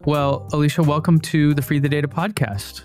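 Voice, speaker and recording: A man in his thirties hosting a podcast, chatting in a relaxed, friendly way at a studio mic in a dim home studio. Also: a little high, moderate pace, loud music behind the voice. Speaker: podcast host. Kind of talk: casual interview chat. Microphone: studio mic on boom, headphones.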